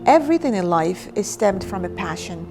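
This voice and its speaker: A woman's voice: mid-range voice, sing-song, moderate pace. A woman from Morocco giving a personal interview as the founder of an organization. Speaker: founder and chairwoman of a women's organization. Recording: clear music behind the voice. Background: background music.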